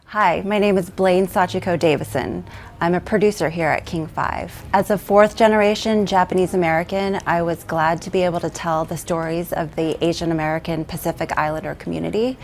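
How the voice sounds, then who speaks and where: A woman producer introducing a documentary segment and asking interview questions in an earnest, measured way. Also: lowish voice, fairly even intonation, creaky, darkish tone, slight background noise.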